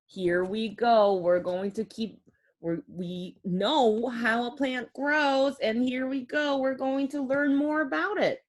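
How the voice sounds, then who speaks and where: A woman's voice: a little high, slow. A woman reading a picture book aloud to young children like a teacher, expressively, with simple explanatory asides. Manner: expressive, child-directed, with audible gasps and exclamations.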